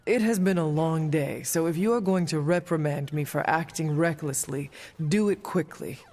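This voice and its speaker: A woman's voice: low-pitched, moderate pace, warm tone, big-room sound. An actress playing a dutiful, battle-hardened supernatural warrior woman in a video game, speaking in stiff, formal phrases. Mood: guarded. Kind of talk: dramatic video game dialogue.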